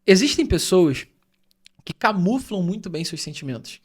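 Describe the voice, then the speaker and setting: Earnest high-voiced man. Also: very animated, moderate pace, breathy edge. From Rio de Janeiro state, Brazil. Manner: earnest, thoughtful, explains at length. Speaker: podcast co-host. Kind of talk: reflective podcast conversation.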